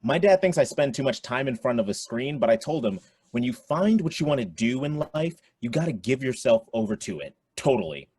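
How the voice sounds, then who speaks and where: Teen geek next door, male actor: husky, mid-pitched, eager, casual slang, radio play. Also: very animated, fairly quick, few pauses, darkish tone. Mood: eager, admiring. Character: eager, friendly teenage computer geek boy next door.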